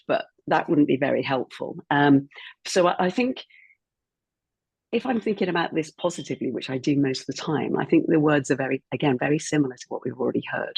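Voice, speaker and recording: Woman, sixties, croaky from a cold, dry close sound, measured panel talk. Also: sits low, darkish tone, no room sound. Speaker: former financial planner, panel guest. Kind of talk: online panel discussion.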